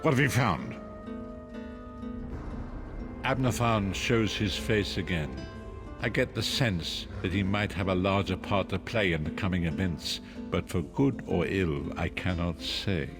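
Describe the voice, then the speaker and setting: An actor playing a solemn, cryptic old prophet in a fantasy game, speaking in a measured, formal manner. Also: male voice, low voice. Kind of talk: scripted fantasy game dialogue. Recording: music behind the voice.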